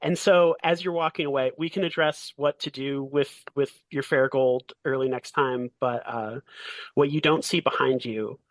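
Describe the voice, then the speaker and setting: A man acting as game master, narrating a tabletop game in a casual way with a halting, deliberate delivery. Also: mid-range voice, fairly even intonation, no room sound, poor audio.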